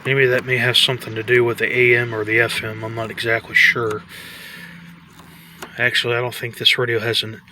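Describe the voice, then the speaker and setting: A man giving a casual, tentative show-and-tell commentary in a halting way, like a hobbyist vlogger. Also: mid-range voice, flat delivery, pushed and bright, slight background noise.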